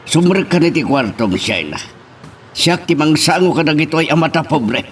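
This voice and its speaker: A man's voice: fairly high pitch, expressive, moderate pace, slight background noise, lightly acted. Stern, proud poor father, slightly distant man acting. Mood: stern, offended. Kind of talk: Ilocano romantic radio drama acting.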